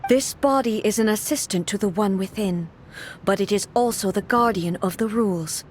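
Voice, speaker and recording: A woman voicing a weakened but resolute goddess ruler who speaks in a formal, measured, grave way, in game dialogue. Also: medium pitch, darkish tone, slight background noise, close to an everyday voice. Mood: stern. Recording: game dialogue over faint music.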